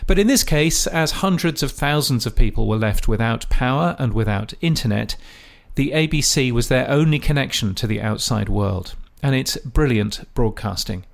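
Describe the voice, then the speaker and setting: A man hosting a podcast, reading scripted commentary in a measured, dry way with a gravelly voice. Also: medium pitch, lively intonation. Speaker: podcast host. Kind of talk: scripted radio-industry commentary.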